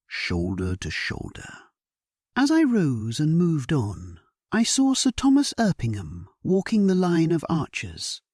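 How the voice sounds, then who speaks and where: Sleep-story narrator: male actor as resolute, solemn king, low and breathy, unhurried, very dry close sound. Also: a little mellow, dry recording, exaggerated voice. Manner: solemn, measured, dramatic delivery. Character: grave, resolute English king at war, narrating his memoir. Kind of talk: scripted first-person sleep-story narration.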